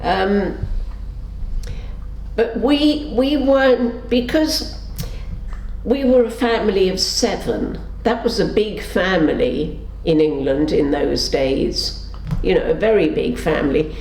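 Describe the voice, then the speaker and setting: A woman's voice: slow, a little mellow. An older woman recounting her memories in an oral history interview, voicing the people in her stories as she goes. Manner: storytelling, acts out quotes with pitch shifts. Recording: roomy sound with faint background noise.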